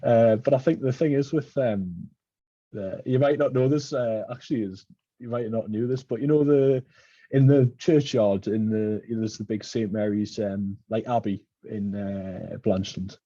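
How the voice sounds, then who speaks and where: A man's voice: on the low side, moderate pace, warm tone, dry recording, highs cut off. A man with a Geordie accent, hosting a podcast in casual chat and telling long, winding stories full of fillers. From Newcastle, England. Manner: rambling storyteller with many fillers. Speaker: podcast host.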